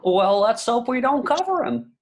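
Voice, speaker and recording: A woman's voice: fairly high pitch, sing-song, moderate pace. A woman playing a fussy, tyrannical middle-aged male office boss in a script read, clipped, pompous and condescending. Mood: angry, commanding. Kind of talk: amateur script table read.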